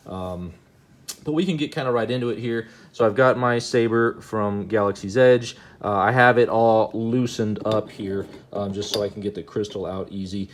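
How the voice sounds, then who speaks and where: A low-voiced man talks through a hands-on hobby demo in a casual, unscripted way. He is halting and circles back over his phrases. There is some noise behind him. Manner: hesitant, halting, repeats himself. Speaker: host of a hobby gadget demonstration video. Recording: noticeable background noise.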